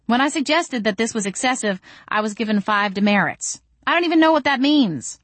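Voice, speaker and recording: A woman's voice: fairly high pitch, expressive, brisk, a bit bright, no echo, pitched above the performer's own voice. Woman voicing a wry, exasperated young vampire woman. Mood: indignant. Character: sarcastic, exasperated young female vampire bookshop owner.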